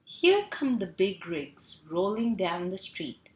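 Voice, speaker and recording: A woman reading a picture book aloud to young children in a sing-song, animated way, stretching sound words and asking simple questions. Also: medium pitch, slow. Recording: roomy echo, clear background noise.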